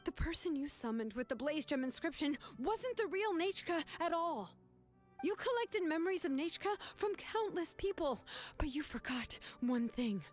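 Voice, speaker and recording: A woman voicing a calm, firm young woman who confronts a grieving aunt in earnest, measured speech. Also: high voice, soft background music.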